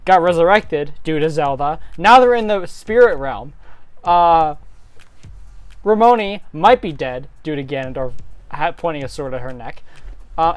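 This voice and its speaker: A narrator giving a casual amateur dramatic reading, breaking off for joking, animated asides. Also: mid-range voice, no echo. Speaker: narrator and player.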